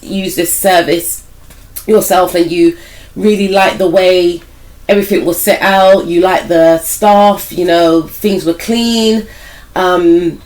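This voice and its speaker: A woman with a croaky voice, still recovering from a cold, giving a casual, rambling tarot reading and speaking straight to her viewers, with many hedges about what she feels. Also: on the low side, darkish tone, rough recording. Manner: speaks directly to viewers as "some of you". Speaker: tarot reader and spiritual life coach.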